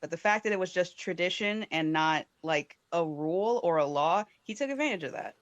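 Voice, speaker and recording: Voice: mid-range voice, lively intonation, brisk, no room sound, muffled recording. Dry-sounding guest explaining politics. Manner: informed, explanatory, self-correcting. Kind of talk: casual debate chat.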